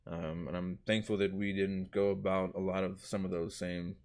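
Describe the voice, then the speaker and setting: A man's voice: low voice, flat delivery, normal speed, a little mellow. A man speaking earnestly as a guest in a live talk show, with many fillers. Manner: earnest, many fillers and "you know what I mean".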